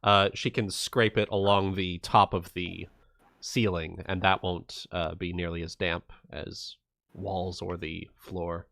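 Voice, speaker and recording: Male player, slow, low, resonant delivery. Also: little pitch movement, creaky, fairly bright tone, no room sound, clean studio sound. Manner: planning aloud, with fillers. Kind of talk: casual game talk.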